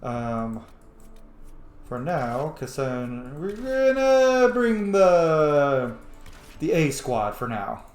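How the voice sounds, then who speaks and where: A man's voice: a little high, very animated, very slow, a little mellow, soft background music. A young man giving casual, rambling playthrough commentary on a video game, with joking asides. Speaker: streamer playing a video game. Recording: roomy echo, faint background noise.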